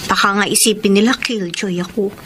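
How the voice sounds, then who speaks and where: A woman's voice: mid-range voice, fairly even intonation, brisk, background noise, lightly acted. A woman acting an earnest young daughter who tells her story in a Filipino radio drama, with a Filipino accent. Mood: concerned. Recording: music bed under radio drama.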